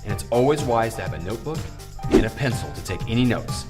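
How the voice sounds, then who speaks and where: A man's voice: medium pitch, animated, fast talker, loud music behind the voice. A man presenting acting tips in scripted narration with casual asides.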